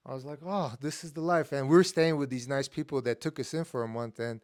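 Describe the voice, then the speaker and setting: Guest spot: young man with Armenian as his first language, smooth clear voice, mid-range pitch, easygoing storyteller who peppers his talk with "uh" and "like". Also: fast. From Estonia. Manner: relaxed, many fillers, acts out his younger self with rising excitement. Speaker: guest, MMA fighter. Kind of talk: casual interview storytelling.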